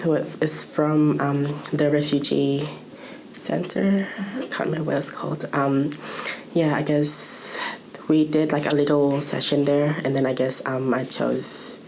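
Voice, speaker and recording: A woman's voice: sits low, gravelly, darkish tone. A young woman from Malaysia giving a casual personal account, hesitantly searching for her words. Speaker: youth programme participant.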